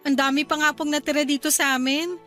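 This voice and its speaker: A woman's voice: high voice, fairly even intonation, fast talker, fairly bright tone. A woman playing a resentful young wife and mother in a Filipino radio drama, with a Filipino accent. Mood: polite, accommodating. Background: music.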